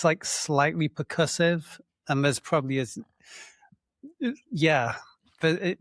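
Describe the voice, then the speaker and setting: Slow, hesitant man on a podcast. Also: medium pitch, no room sound, studio quality. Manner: hesitant, hedging, laughs often. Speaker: podcast co-host. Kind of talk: casual banter.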